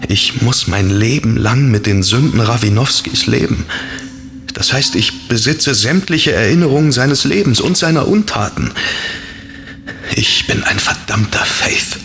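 A man's voice: medium pitch, animated, unhurried, fairly bright tone, a little hiss or hum. An actor playing a melancholy fallen angel in a man's body, sombre and confiding, in a horror audio drama. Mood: bitter, sorrowful.

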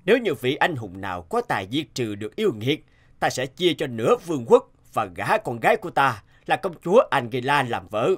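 Solemn, expressive cartoon king. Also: mid-range voice, a little fast. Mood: resolute. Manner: stately, declarative. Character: solemn, commanding king in a fairy-tale court. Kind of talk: animated fairy-tale cartoon acting.

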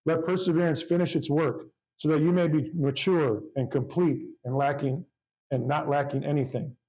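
A man preaching a sermon to his congregation, reading scripture aloud in a loud, fervent voice. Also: on the high side, fairly even intonation, normal speed, rough recording. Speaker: pastor.

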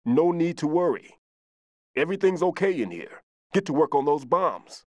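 An actor playing a firm special-operations soldier giving mission instructions in a video game. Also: male voice, a little high, big pitch swings, moderate pace, no echo. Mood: annoyed.

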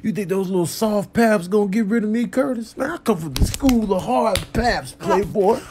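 A man's voice: sits high, moderate pace, a little mellow, husky. An American man doing slangy, improvised comedic voiceover with theatrical, exclamatory scolding.

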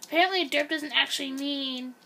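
A chatty young woman with a high, bright voice rambles on while she plays a game indoors. She talks in loose bursts and drifts from one thing to the next. Faint room noise sits behind her. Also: a little fast, gravelly. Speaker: gameplay video host. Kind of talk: casual chat while playing. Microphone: camera filming a screen.

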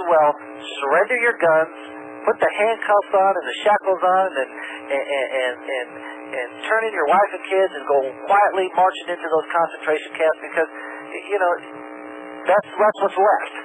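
Male radio caller, salesman type, earnest, stumbling delivery, slightly high voice, noisy old broadcast. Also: animated, normal speed. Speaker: call-in precious metals dealer. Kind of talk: radio phone-in talk, sales pitch.

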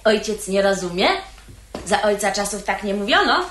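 An actress playing a cheeky teenage daughter, teasing in a sing-song way in a theatrical radio play. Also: female voice, medium pitch, animated, moderate pace, a bit bright, natural voice. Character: cheeky, mocking teenage girl, daughter of the house. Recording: echoey old radio recording, faint noise.

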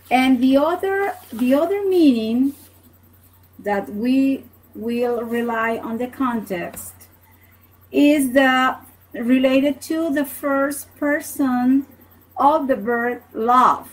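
Middle aged venezuelan lady, native spanish speaker w accent teaching online, really slow n halting, kinda high voice. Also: rough recording. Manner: halting, hesitant, repeats words. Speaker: language teacher. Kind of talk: online language lesson. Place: small home office, indoors. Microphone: computer webcam at desk distance.

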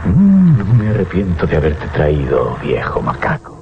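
Un actor de radioteatro de terror interpreta a un capitán de barco codicioso, burlón y teatral. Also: male voice, sits low, huge pitch swings, unhurried, background noise, exaggerated voice. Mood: calculating. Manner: theatrical, talks aloud to himself. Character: gruff mocking sea captain, greedy, sliding into terror. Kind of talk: radio horror drama acting. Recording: dull 1980s radio recording.

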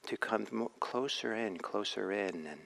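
A man leading a guided meditation as a teacher, speaking in a measured, reflective way with frequent pauses. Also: fairly low pitch, creaky, fairly bright tone.

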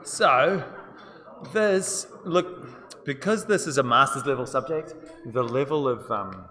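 Man, New Zealand accent, university law lecturer, casual and chatty, quizzes students, middle pitch, echoing lecture hall. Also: lively intonation, slow pace, pushed and bright. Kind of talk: live-streamed seminar teaching. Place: lecture room with long reverb. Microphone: distant room microphone. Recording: reverberant lecture hall sound.

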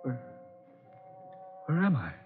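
An actor playing a tormented, weary man with a halting, intense delivery in 1940s radio style. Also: mid-range voice, sing-song. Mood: dazed, wondering. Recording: dull 1940s radio recording, music behind.

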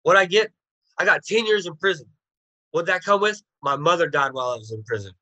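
Bright-voiced man telling a hard story. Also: a little high, brisk, no echo, poor audio. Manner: blunt, plain-spoken storytelling with street slang. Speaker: interview guest. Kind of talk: telling his life story. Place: indoors, video call.

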